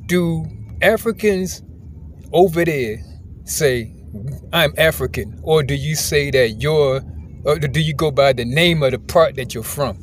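A man's voice: on the high side, measured pace. An American man, the video's host, asking questions in casual conversation inside a car, restarting his words often. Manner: inquisitive, conversational, repeats words when starting. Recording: faint car noise.

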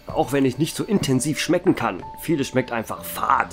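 A man as a calm, ancient vampire barkeep. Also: mid-range voice, normal speed, close to an everyday voice. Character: centuries-old male vampire barkeeper, calm and wry. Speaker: narrator and bar owner. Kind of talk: fan audio drama narration. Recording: music bed under the voice.

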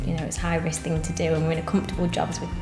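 Young woman, bakery co-owner, low earnest voice, echoey cafe room with music under it. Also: monotone, racing, a little mellow. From England. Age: 20s. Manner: earnest, plain-spoken with many ums. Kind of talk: interview answers. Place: cafe interior, seated on sofa.

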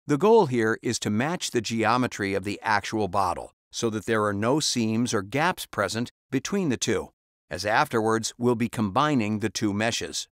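A man reading a scripted step-by-step software tutorial as a voice-over, calm and instructional. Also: fairly low pitch, normal speed, dry recording, studio quality.

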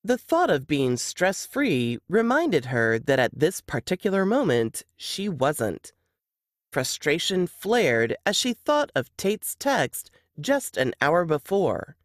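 A woman narrating a novel excerpt in an expressive audiobook style. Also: deep voice, takes it slowly, no echo, studio quality.

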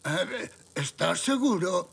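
A man's voice: high-pitched, lively intonation, takes it slowly, creaky, fairly bright tone, breathy edge, heavily acted. An actor voicing a kindly, modest, slightly forgetful zebra grandfather in a children's cartoon dub, good-natured and hesitant. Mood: pleased, modest.

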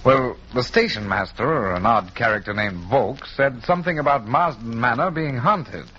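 An actor playing a detective's gentlemanly, earnest, plain-spoken companion in 1940s radio drama style. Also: male voice, mid-range voice, animated, normal speed, husky. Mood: earnest, courteous. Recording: muffled, noisy 1940s radio recording.

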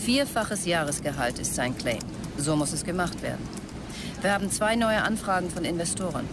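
A man's voice: fairly high pitch, big pitch swings, moderate pace, background noise. An actor playing a visionary, demanding male startup executive in a radio drama, speaking tersely and emphatically. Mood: visionary, resolute. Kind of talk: radio drama, characters dictating messages.

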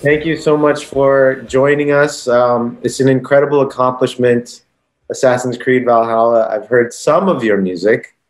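A man's voice: mid-range voice, fairly even intonation, normal speed. An American man hosting a podcast interview, asking a long, rambling question with many ums. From United States. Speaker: podcast host.

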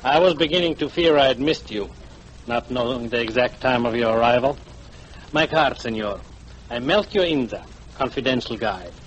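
Male actor as fawning, self-important guide, florid courtesy, mid pitch, vintage radio drama sound. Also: lively intonation, normal speed, dull audio, lightly acted. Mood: oily, ingratiating. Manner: oily, flowery, self-important politeness. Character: big, weary, unctuous foreign tour guide, eager to please. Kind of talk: 1940s radio acting. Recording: old 1940s radio broadcast, noisy.

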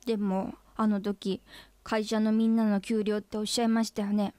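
A woman's voice: mid-range voice, flat delivery, normal speed, warm tone, no echo, close to an everyday voice. An actress playing an earnest, forthright female office employee pressing her boss in a comic radio drama. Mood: accusing, insistent.

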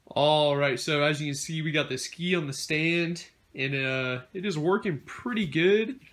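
A man's voice: fairly high pitch, unhurried, a bit bright. Laid-back young man filming in his garage. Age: young adult. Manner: laid-back, many ums and uhs. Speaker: DIY vlogger. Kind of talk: casual build narration. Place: home garage workshop.

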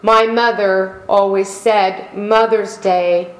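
A middle-aged woman pastor delivering a sermon in slow, emphatic preaching style, calling out for amens. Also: mid-range voice, steady tone. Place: church sanctuary. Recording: echoey room.

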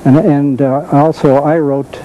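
Older American man, very dark muffled tone. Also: on the high side, level tone, a little hiss or hum. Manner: halting, repeats words while searching. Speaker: talk show co-host, former radio and TV writer. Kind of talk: casual chat. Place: local community television talk show.

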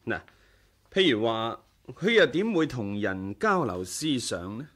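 An actor with a Hong Kong Cantonese accent playing a serene, tolerant young monk leader in a radio drama, gentle and courteous. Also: male voice, medium pitch, very animated, a little slow. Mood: patient, earnest.

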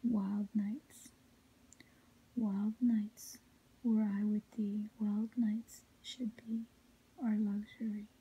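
Slow whispering woman reading poetry. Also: medium pitch, level tone, lo-fi sound. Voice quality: soft unvoiced whisper, breathy. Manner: measured, melodic recitation. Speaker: ASMR poetry reader.

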